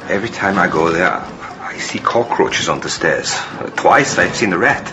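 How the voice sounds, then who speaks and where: An actor playing a guilt-ridden male servant in a radio drama, halting and apologetic, stammering through his confession. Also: sits low, expressive. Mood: halting, tense.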